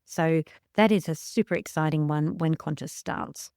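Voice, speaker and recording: A woman's voice: low-pitched, normal speed, creaky, a little mellow, smooth, no echo, studio quality. An Australian woman co-hosting a travel podcast, playful and enthusiastic with jokey asides. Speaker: podcast co-host. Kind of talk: casual travel news chat.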